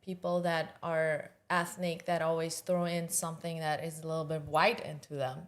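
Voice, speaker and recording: A woman in a casual podcast chat, animated and laughing at her own slips. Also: low voice, moderate pace.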